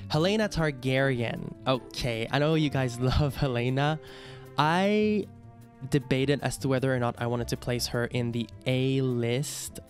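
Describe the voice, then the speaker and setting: A man with a Québécois French accent hosts a casual ranking video in English, his second language. He talks in a friendly, halting way with frequent "uh" and "um", at a mid pitch, with light music playing softly underneath. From Quebec, Canada. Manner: friendly, hesitant with many fillers. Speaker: YouTube host.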